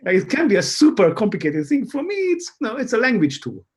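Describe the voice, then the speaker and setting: A man from Hungary, speaking as an academic guest in a halting, musing way that leans on key words, over a video call. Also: very high-pitched, huge pitch swings, slightly rough. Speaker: academic guest, behavioral scientist. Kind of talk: thoughtful interview conversation.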